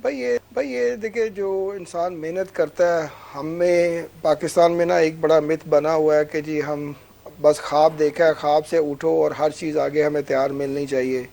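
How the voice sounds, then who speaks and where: A man's voice: fairly high pitch, little pitch movement, moderate pace, gravelly, mellow. A man with a Pakistani accent giving conversational interview answers, earnest and motivational, repeating phrases for emphasis. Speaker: businessman guest.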